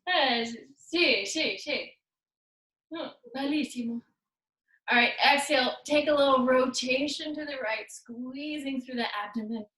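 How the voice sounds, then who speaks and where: A woman's voice: high-pitched, measured pace, bright, forceful tone. Brief: female fitness instructor, playful cueing, recorded far off in an echoey room. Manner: upbeat, playful cueing mixed with Spanish words. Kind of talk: guided workout cues.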